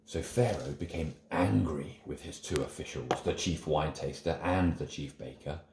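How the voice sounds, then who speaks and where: A man's voice: extremely low pitch, moderate pace. A man narrating a Bible story as a dramatic scripted reading. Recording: echoey room, faint noise and clicks.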